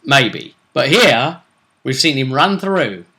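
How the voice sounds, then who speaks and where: A man's voice: medium pitch, sing-song, slow pace, bright, forceful tone, a little hiss or hum. A man talking casually as a game master, recapping tabletop role-play in hesitant storytelling fragments.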